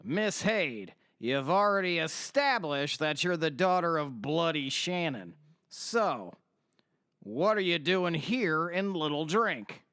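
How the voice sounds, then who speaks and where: Woman acting a assertive defense attorney, formal, bright midrange voice, swings up and down, radio drama. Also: deep voice, animated, slow pace, no echo, studio quality, full character voice. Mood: measured, questioning. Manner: formal, emphatic. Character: assertive defense lawyer in wasteland court. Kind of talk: audio drama courtroom acting.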